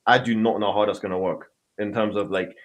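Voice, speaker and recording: A man's voice: lowish voice, fairly even intonation, a little fast. A man co-hosting a podcast, talking football in a rambling, hesitant way with many ums. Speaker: podcast co-host. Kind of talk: casual football chat.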